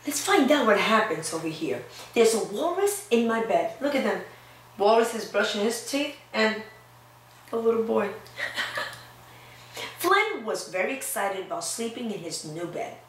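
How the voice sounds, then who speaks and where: A woman in her thirties, a preschool teacher reading aloud and talking to young children in a simple, expressive way with questions for them. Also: on the high side, measured pace. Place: indoor classroom-like room. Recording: roomy echo, distant mic, faint noise.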